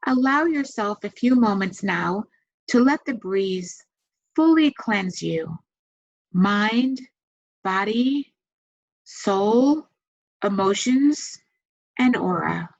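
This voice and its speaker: A woman guides a meditation at a very slow, unhurried pace. She gives soothing, gentle instructions and leaves long pauses between them. Her pitch is mid-range, and the recording is somewhat dull and muffled. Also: lively intonation, creaky. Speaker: meditation guide and massage therapist.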